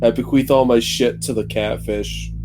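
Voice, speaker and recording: A man of average pitch banters as a player in a tabletop game. He is dryly jokey and mock-dramatic, speaking casually over clearly audible music. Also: fairly even intonation, normal speed.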